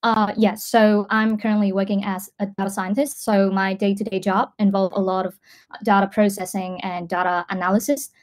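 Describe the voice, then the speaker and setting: A woman's voice: medium pitch, little pitch movement, moderate pace. A woman, answering casually in an online lesson, thinking aloud as she goes. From Vietnam. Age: 20s. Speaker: English student, data scientist.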